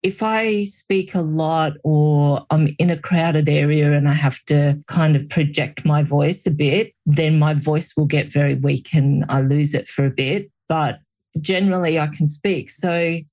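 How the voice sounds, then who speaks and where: A woman's voice: deep voice, fairly even intonation, a little slow, mellow. A middle-aged woman, a healer and guest, telling her personal story in an earnest, reflective way during an interview.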